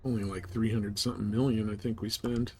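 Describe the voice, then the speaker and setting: A man's voice: lowish voice, level tone, a little fast, darkish tone, a little hiss or hum. A man giving casual live commentary on a game, chatting and thinking aloud. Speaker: game streamer.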